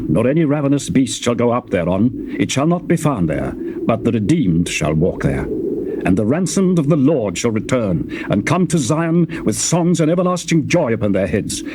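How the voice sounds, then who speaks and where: Solemn, lively male scripture narrator. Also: mid-range voice, noisy background. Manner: solemn, declamatory reading. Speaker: scripture reader.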